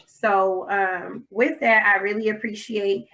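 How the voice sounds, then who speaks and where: A woman hosts a podcast over a video call, wrapping up an interview in a casual tone. She is encouraging and talkative and sometimes stumbles over her wording. Her pitch is ordinary for a woman. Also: steady tone, takes it slowly, bright, forceful tone, dull audio. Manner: encouraging, chatty, fumbles phrasing. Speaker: podcast host.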